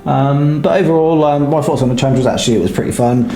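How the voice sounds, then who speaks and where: A man's voice: quick pace, dark tone, faint music behind. A man with a sore throat giving casual, hesitant game commentary full of ums. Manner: hesitant, filler-heavy, low-key. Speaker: gaming guide creator. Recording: roomy echo.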